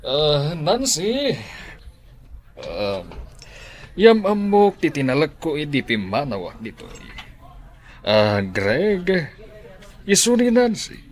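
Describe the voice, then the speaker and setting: An actor playing a helpful, paternal restaurant boss in an Ilocano radio drama. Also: male voice, a little high, sing-song, slow, long pauses. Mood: businesslike.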